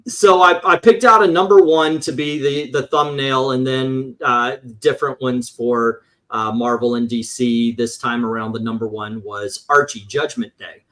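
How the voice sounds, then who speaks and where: A man's voice: mid-range voice, steady tone, normal speed, hardly any pauses, fairly bright tone. A man reviewing comic books in casual, opinionated panel chat, rambling and swearing, acting out his exasperated reactions.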